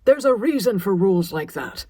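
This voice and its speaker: A woman voicing a stern, proud, traditional middle-aged father in an audiobook, sharp and emphatic. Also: mid-range voice, big pitch swings, moderate pace, no echo, studio quality. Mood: exasperated.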